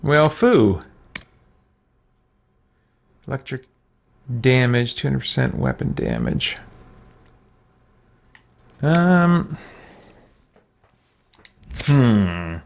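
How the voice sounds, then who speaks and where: Slow-paced man musing over a game. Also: mid-range voice, big pitch swings, gravelly. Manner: musing, thinking aloud. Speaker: gaming streamer. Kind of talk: casual game commentary, reading chat. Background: faint game music.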